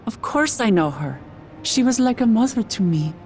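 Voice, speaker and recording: An actress playing an earnest, tender-hearted young heroine in a game cutscene, halting and emotional. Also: female voice, on the high side, lively intonation, a little mellow, faint music behind. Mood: shocked. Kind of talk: dramatic video game cutscene acting.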